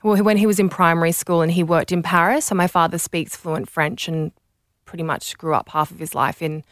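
Australian woman, 30s, interview guest, smooth clear voice, dry close sound, friendly. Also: fairly low pitch, steady tone, no room sound. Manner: friendly, agreeable, quick affirmations. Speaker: guest, model and entrepreneur. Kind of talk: interview answers.

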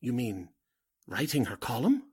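A sinister cult leader from an old-style radio drama, played by a man. He speaks slowly and formally, with theatrical swings of pitch. The voice is slightly rough rather than clean. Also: huge pitch swings, a little mellow, heavily acted. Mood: urgent, pleading. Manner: formal, theatrical, commanding or pleading. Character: sinister, crooked male cult leader and fake medium. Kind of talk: 1940s radio drama acting.

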